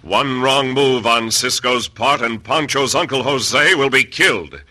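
A man's voice: medium pitch, unhurried, loud music behind the voice. A man announcing an old-time radio serial in a dramatic style.

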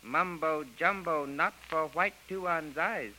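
A man's voice: a little high, slow pace, bright, forceful tone, a little hiss or hum, exaggerated voice, poor audio. A man playing a deferential, evasive native guide in clipped broken English, in an old radio adventure. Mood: deferential, guarded. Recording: vintage radio recording.

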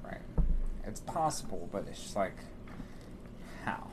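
Deep-voiced man, noisy podcast chat. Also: sing-song, a little slow. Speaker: podcast co-host. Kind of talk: casual chat. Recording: clear background room noise.

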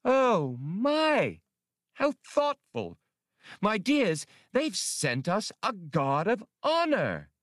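A man's voice: sits high, wildly swooping pitch, very slow, fairly bright tone, no room sound, studio quality, pitched above the performer's own voice, exaggerated voice. A man voicing a formal, theatrical female feline alien co-pilot in an audiobook. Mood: gushing, charming.

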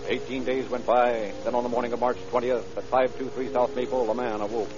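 An actor narrating a police case in a grave, dramatic old-time radio style. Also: male voice, mid-range voice, level tone, brisk, noisy background, close to an everyday voice. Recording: dull old radio recording, loud music.

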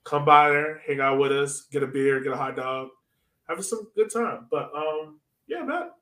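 A man's voice: a little high, moderate pace, bright, forceful tone, echoey room. A man hosting a podcast, chatting casually about sports in an informal, slangy way. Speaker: podcast host.

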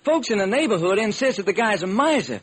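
Radio detective drama: folksy, baffled police lieutenant, high husky voice, earnest and slangy. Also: male voice, sing-song, fast talker, full character voice. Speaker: actor. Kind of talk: old-time radio detective drama acting. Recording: noisy vintage radio recording.

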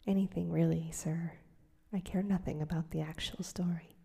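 A woman's voice: on the low side, fairly even intonation, moderate pace, warm tone. A woman whispering as an eager young reporter. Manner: whispered, breathy delivery. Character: eager young male reporter, earnest and keen.

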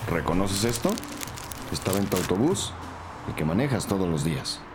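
Deep-voiced, stern, intimidating policeman. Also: very animated, normal speed, background noise. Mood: threatening. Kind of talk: crime audio drama acting. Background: music bed.

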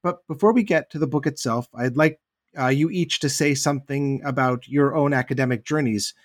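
Measured male interviewer, dry sound. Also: mid-range voice, dry recording. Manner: measured, reads prepared introduction. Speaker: podcast host interviewer. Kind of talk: interview hosting.

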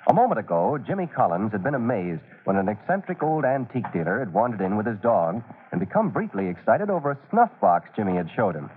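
A man's voice: on the low side, big pitch swings, fast talker. A man narrating a vintage radio drama as its announcer, with an emphatic, theatrical delivery. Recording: tinny noisy old radio recording.

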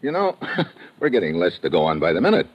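Tough american private eye from old radio show, low voice, wry n slangy, really swings the pitch around. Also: male voice, wildly swooping pitch, brisk, full character voice. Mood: wry, probing. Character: wisecracking, hard-boiled American male private investigator. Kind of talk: old-time radio detective acting and first-person narration. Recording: muffled old radio recording with music.

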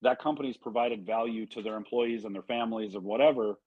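A man from Illinois co-hosting an interview, talking in a thoughtful, halting way. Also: fairly low pitch, steady tone, breathy edge, no room sound. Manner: thoughtful, halting, restarts often. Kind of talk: casual interview talk. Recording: dry dull lo-fi sound.

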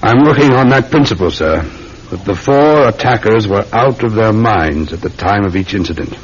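Casting: male actor as methodical, patient police sergeant, deep voice, deliberate delivery, vintage radio play with muffled, rumbling noise. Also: expressive, close to an everyday voice. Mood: earnest. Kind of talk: classic radio drama acting. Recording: dull, rumbling, noisy old radio recording.

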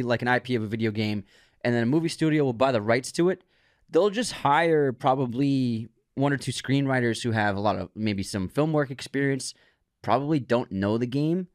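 Male co-host, mid-pitched voice, casual conversational delivery, dry studio-like sound. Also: no room sound. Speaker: podcast co-host.

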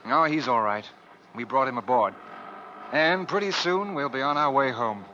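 An actor playing a hearty, reassuring ship's officer with a brisk storytelling manner in an old radio drama. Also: male voice, medium pitch, lively intonation, bright, forceful tone. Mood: reassuring, cheerful. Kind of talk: 1950s radio drama acting. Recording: muffled old radio recording, faint noise.

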